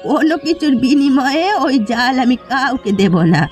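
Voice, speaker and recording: A woman's voice: high voice, expressive, moderate pace, faint music behind. A woman voicing a stubborn, wary old fisherwoman in a cartoon village story, speaking firmly and defiantly. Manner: firm, defiant. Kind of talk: Bengali cartoon story voice acting.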